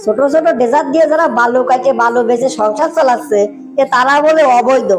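A cheeky cartoon underling acted by a woman, a little man with a very high voice and a northern Bangladeshi accent. He sounds respectful on the surface but keeps needling and challenging. There is music behind him. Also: fairly quick, lightly acted. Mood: needling, complaining. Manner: needling, deferential yet challenging. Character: cheeky, questioning male underling of an official. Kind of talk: comic cartoon dialogue.

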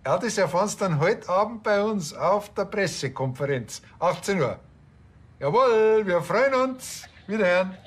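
A man's voice: high voice, animated, normal speed, husky. An actor playing a wily, chatty small-town policeman with a folksy Bavarian accent in a TV crime comedy. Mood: eagerly official. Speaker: police officer.

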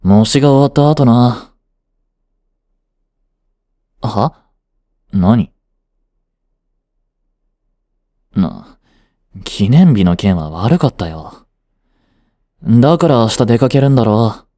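A man's voice: mid-range voice, animated, slow, long pauses, dark tone, no echo. A man acting an aloof, dry but secretly fond teenage boyfriend in a romantic voice drama, speaking in a cool, curt, teasing way. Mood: flustered, annoyed. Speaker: voice actor. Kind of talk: romantic situation voice drama, one-sided dialogue.

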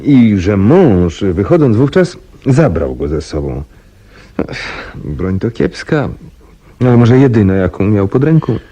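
An actor playing a conscientious, deferential police inspector in a 1970s Polish radio play, polite, careful and a little hesitant. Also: male voice, lowish voice, sing-song, unhurried, mellow, husky, dull audio, heavily acted. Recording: noisy archival 1970s radio recording.

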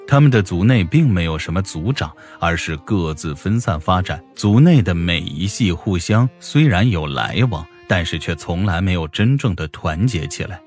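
A man with a deep voice narrates a fantasy novel from a script. He works as an audiobook storyteller, and faint music plays beneath the narration. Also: expressive, moderate pace, few pauses.

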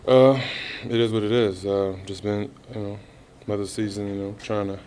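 A man in his thirties answering reporters after a game, halting and subdued, with many fillers. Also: on the low side, level tone, dark tone, slight background noise. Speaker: basketball player at a postgame press conference. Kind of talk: postgame press interview. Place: arena press room, indoors. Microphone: reporters' handheld mics held close.

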